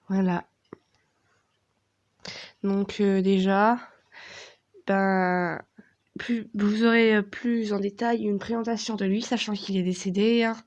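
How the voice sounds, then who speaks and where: A young woman with a hoarse voice from a cold, telling a rambling personal story to her viewers with many fillers. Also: mid-range voice, a little mellow, highs cut off. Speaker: vlogger. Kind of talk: casual storytelling to camera.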